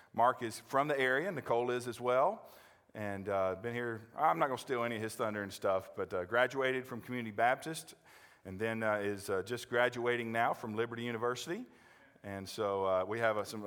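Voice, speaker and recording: A man's voice: mid-range voice, a bit bright. A man with an American accent, a pastor speaking informally from the pulpit, folksy and often checking in with his listeners. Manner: folksy, checks in with "all right?", "okay?", "amen?". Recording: reverberant church hall sound.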